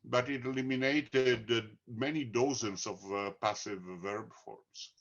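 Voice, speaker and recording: Slow Italian-accented man, dull sound. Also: medium pitch, steady tone. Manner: slow, deliberate, frequent "uh" pauses. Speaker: book co-author. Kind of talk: conversational talk.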